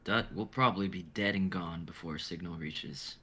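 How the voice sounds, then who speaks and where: A man's voice: sits low, moderate pace, bright, forceful tone, breathy edge, heavily acted. A man voicing a blunt, fatalistic and practical young crash survivor in an amateur dramatic table reading. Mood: bitter.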